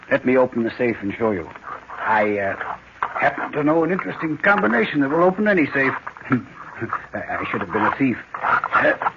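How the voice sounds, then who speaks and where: An actor playing a smug, playful French detective, teasing and questioning theatrically in an old-time radio mystery. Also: male voice, medium pitch, a bit bright, a little hiss or hum. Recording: dull muffled old radio recording.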